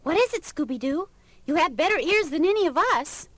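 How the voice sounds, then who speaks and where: An eager young cartoon sleuth with a very high voice. She sounds caring and curious, in the style of a children's audio drama. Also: lively intonation, fairly quick, a bit bright, dry recording.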